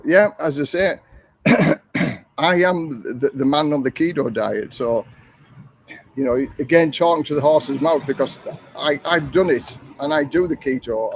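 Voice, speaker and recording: An older man, hosting a casual live stream and answering viewer questions in a rambling, chatty way, with a voice he calls monotone. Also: medium pitch, dry recording. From England. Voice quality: self-described monotone voice. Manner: rambling, chatty, with frequent ums and restarts. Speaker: livestream host.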